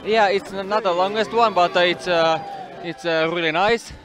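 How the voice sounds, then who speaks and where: A man with a Finnish accent answering interview questions in halting, broken English with many uhs. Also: sits high, crowd noise. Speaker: athlete interviewee. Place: outdoors at a climbing event.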